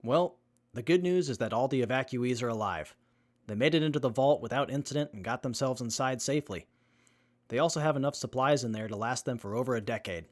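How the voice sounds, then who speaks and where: Man as an earnest young techie survivor, mid-pitched. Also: close to an everyday voice. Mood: grave. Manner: measured, methodical, earnest. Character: earnest, capable young male tech-savvy survivor. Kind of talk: post-apocalyptic audio drama acting.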